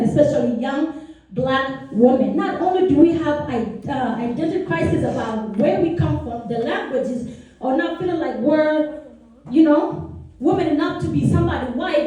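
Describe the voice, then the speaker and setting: A woman's voice: high voice, mellow, very echoey, recorded from a distance, poor audio. A young woman from South Sudan telling her personal story to a meeting, earnest and chatty with many asides. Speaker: speaker. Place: meeting room.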